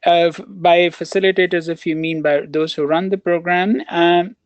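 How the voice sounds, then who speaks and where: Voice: medium pitch, steady tone, moderate pace, no echo, highs cut off. A counselor answering questions on a webinar, talking with many fillers and restarts over a video call. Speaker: counselor and program researcher.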